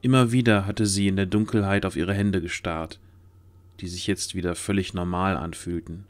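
A man's voice: sits low, fairly even intonation, moderate pace. A man reading scripted narration for a fantasy audio drama. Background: faint music.

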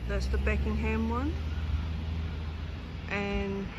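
A man's voice: sits high, little pitch movement, slow pace, muffled recording. An Australian man with a rambling, repetitive, blunt way of talking gives casual commentary while filming an outdoor train station on a handheld phone. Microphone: handheld phone camera. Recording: loud outdoor noise on phone.

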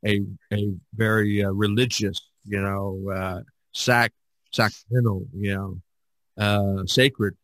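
A man hosting a podcast in casual discussion, halting and repeating himself as he searches for words. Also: fairly low pitch, fairly bright tone, no echo, rough recording. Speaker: podcast host.

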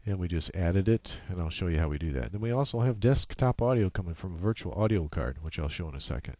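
A man with a low voice narrates a screen-capture tutorial. He explains each step off the cuff, halting as he goes, in a casual way. The sound is dry, with no room to it. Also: lively intonation, a little mellow, dry recording. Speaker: software tutorial presenter. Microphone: USB microphone.

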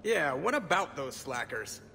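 Casting: wisecracking space pilot, slightly high creaky voice, dry sarcasm, reverberant sound. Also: male voice, big pitch swings, unhurried, sharp tone, heavily acted. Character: wisecracking, laid-back male starship pilot. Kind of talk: video game cutscene acting. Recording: very reverberant, faint background noise.

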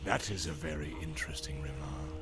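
A man voicing a sly, evil orc who speaks softly with an insinuating sneer in a fantasy audiobook. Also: a bass voice, fairly quick, loud music behind the voice, pitched below the performer's own voice. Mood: sly, menacing. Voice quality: soft, hissing, gravelly.

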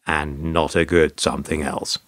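A man narrating a radio play as a wry, put-upon storyteller, measured and sardonic. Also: extremely low pitch, flat delivery, a bit bright. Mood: wry, dry. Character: wry, put-upon male narrator recalling awkward encounters.

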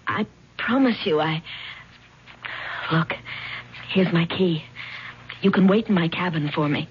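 Actress as an ashamed young waitress, lowish voice. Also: female voice, measured pace, close to an everyday voice. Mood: nervous, evasive. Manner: hesitant, pleading, weary. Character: ashamed, pleading young waitress, estranged wife. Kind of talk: 1970s radio drama acting. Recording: dull, noisy 1970s radio recording.

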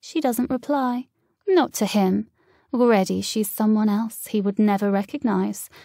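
A woman's voice: medium pitch, unhurried, warm tone, no room sound, studio quality. A woman reading scripted literary short story narration.

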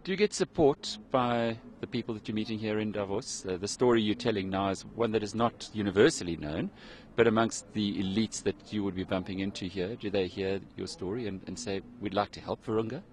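A man's voice: on the low side, fairly quick, darkish tone, slight background noise. Middle-aged male TV reporter. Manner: conversational, with some ums. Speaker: TV interviewer. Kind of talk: live TV interview. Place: outdoors in snow. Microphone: handheld mic.

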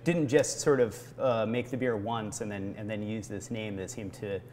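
Halting, low-voiced American man, thoughtful. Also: fairly even intonation, darkish tone. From Vermont, United States. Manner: halting, thoughtful, searching for words. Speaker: brewery founder being interviewed. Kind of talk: reflective interview answers. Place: indoor barrel room of a brewery. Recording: noticeable background room noise.

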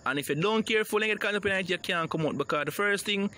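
A man's voice: sits high, quick pace, bright, forceful tone. A man with a Jamaican accent who reads news reports and then comments on them in dramatic patois, often calling out to his listeners as "people".

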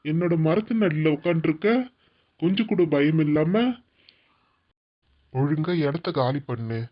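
A woman's voice: low-pitched, measured pace, pitched below the performer's own voice. A woman voicing a menacing, territorial snake who bullies intruders in a children's animal story. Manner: threatening, bullying. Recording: dull lo-fi audio.

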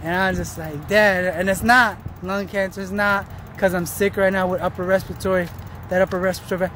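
High-pitched man rambling on a walk. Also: steady tone, a little fast, pushed and bright. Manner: rambling, repetitive, self-interrupting, slangy. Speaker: vlogger. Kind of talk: casual walking vlog monologue. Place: outdoors on a roadside path. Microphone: phone held at arm's length. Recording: outdoor traffic and ambient noise.

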